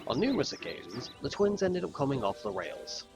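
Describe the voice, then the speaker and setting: A man reading scripted narration for a children's railway audio drama, like a storybook, with a gravelly edge. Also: mid-range voice, big pitch swings, brisk, music in the background. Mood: storytelling.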